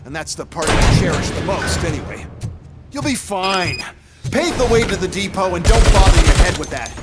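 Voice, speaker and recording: An actor playing a smug, ambitious schemer in a video game, with a condescending, impatient and commanding manner. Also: male voice, high-pitched, big pitch swings, normal speed, loud music behind the voice.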